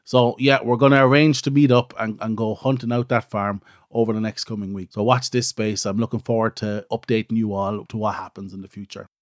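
A man's voice: on the low side, steady tone, fairly quick, hardly any pauses, no echo. Irish man hosting a podcast, dry sound. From Ireland. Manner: rambling, self-deprecating, frequent "so" and "you know". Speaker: podcast host. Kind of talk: casual solo podcast chat.

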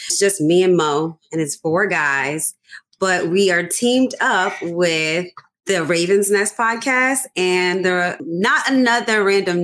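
A woman's voice: medium pitch, fairly bright tone. A woman hosting a roundtable podcast, upbeat and keeping the talk moving. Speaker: podcast host. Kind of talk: casual roundtable chat. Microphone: video call.

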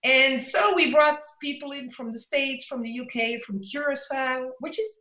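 A woman's voice: sits high, moderate pace, pushed and bright. Belgian coach and author, native Dutch speaker, woman, upbeat and reflective, echoey room. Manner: reflective, laughs often. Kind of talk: conversational interview.

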